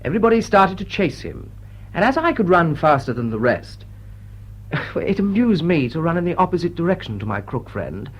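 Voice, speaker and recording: An actor playing a dry, urbane English lord and suitor in a stage-style radio drama, speaking in a husky, understated, hesitant way. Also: male voice, on the high side, big pitch swings, moderate pace, rough recording. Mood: wry, reflective.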